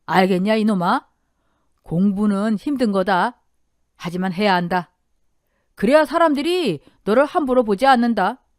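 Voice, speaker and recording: A woman's voice: medium pitch, animated, moderate pace, pauses often, dry recording, studio quality, close to an everyday voice. A woman voicing a blunt but caring older father-in-law in a radio story drama. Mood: gruff, encouraging. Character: gruff but warm-hearted older father-in-law, a restaurant owner.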